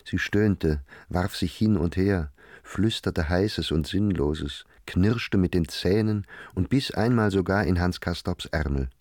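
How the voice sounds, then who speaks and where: A man's voice: sits low, slow pace, hardly any pauses, darkish tone, dry recording, clean studio sound. A man narrating a literary radio drama.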